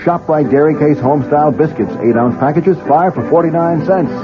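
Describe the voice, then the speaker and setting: A man's voice: fairly high pitch, fairly quick. A man announcing scripted commercials as a 1970s radio announcer. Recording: tinny old radio, loud music.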